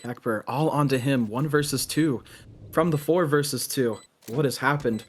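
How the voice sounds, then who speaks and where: A man giving live play-by-play commentary on a video game match, excitable on big plays and analytical between them. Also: medium pitch, normal speed, breathy edge.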